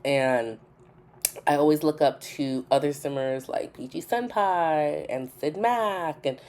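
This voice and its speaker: Woman, low pitch, nasal and congested, informal gaming commentary, chatty, emphatic repetition. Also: takes it slowly, darkish tone. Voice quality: stuffy, nasal. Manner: informal, repeats phrases for emphasis. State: congested from a cold. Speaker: gaming video host. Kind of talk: casual chatty voice-over commentary.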